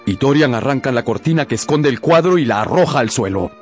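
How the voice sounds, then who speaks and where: A man's voice: mid-range voice, lively intonation, a little fast. A man narrating a literary radio drama in a scripted, dramatic storytelling style. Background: music.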